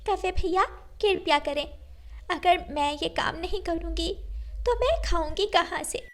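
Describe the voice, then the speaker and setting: A high, gentle mother bird from a children's cartoon, acted out by a woman. She is hardworking and humble. She speaks politely, with a soft, pleading manner. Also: unhurried.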